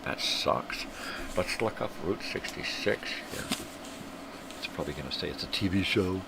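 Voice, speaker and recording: A man's voice: deep voice, expressive, normal speed. An older man hosting a live stream, rambling through casual, profane tangents while sniffly from a cold. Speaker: livestream host. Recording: clear background hum.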